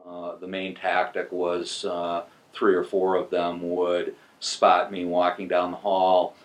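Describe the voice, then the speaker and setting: A man in his sixties from North Dakota, speaking hesitantly in a documentary interview with frequent pauses and fillers. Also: sits low, monotone. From North Dakota, USA. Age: 60s. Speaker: interviewee recalling school bullying.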